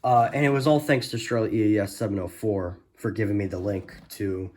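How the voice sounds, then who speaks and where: A man's voice: lowish voice, fairly even intonation, fairly quick, darkish tone. A man giving a casual, unscripted show-and-tell as a video host, rambling hesitantly and often correcting himself. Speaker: host of a radio collection video. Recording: noisy home recording with clicks.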